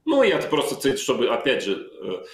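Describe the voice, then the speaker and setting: A middle-aged man on a video call from home, calmly walking through a chess game move by move with many fillers. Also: medium pitch, moderate pace. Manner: calm, reflective, calling out moves with many fillers. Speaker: chess player recalling his own game. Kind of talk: analytical interview talk. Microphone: video call microphone. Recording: roomy video-call audio.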